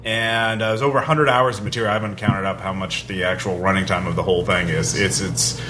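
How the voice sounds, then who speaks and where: A man hosting a podcast in casual chat, giving announcements in a rambling, halting way full of asides. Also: low voice, steady tone, over music. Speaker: podcast host. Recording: clear background noise.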